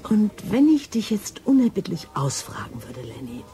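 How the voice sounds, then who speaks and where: A woman's voice: medium pitch, sing-song, moderate pace, close to an everyday voice. An actress playing a smitten woman detective in a radio drama, probing and intimate in manner. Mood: tender, probing. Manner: intimate, probing, sometimes whispering. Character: smitten female chief detective, searching and wistful.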